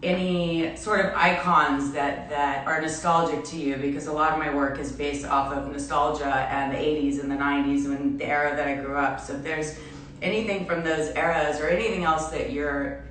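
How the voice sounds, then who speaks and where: A woman from Belgium in her forties chatting casually on a livestream as an artist, with a halting manner and trailing restarts. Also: low voice, steady tone, fairly bright tone. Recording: echoey room, distant mic, music behind.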